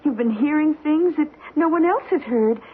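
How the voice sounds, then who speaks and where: High-voiced, hesitant secretary. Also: female voice, animated, moderate pace. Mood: concerned. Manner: hesitant, polite. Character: deferential, concerned female secretary. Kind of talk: old radio drama acting. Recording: muffled, faintly noisy old radio recording.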